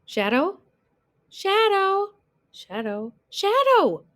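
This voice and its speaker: A woman's voice: very high voice, sing-song, drawn-out words, a bit bright, pitched above the performer's own voice, heavily acted. A woman voicing a small male groundhog from a storybook, fed up and anxious, in a pleading, exclaiming manner. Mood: anxious calling.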